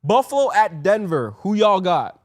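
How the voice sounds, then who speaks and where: A man's voice: high voice, sing-song, normal speed. A man from Canada whose first language is Cantonese, hosting a podcast and running its segments in joking casual chat. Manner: runs the segments, joking and swearing. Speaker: podcast host.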